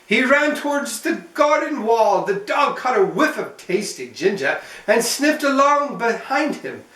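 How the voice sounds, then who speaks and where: A man narrates a picture book for children in a playful, expressive way. His pitch moves around a great deal as he reads. The sound is echoey and slightly away from the mic. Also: measured pace. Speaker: host reading aloud to children. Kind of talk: animated storybook narration. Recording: roomy echo, mic at some distance.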